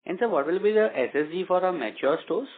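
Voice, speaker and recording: A man's voice: high voice, lively intonation, fast. A male analyst, politely asking questions on an earnings call and calling the speakers sir. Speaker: analyst caller. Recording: tinny phone line.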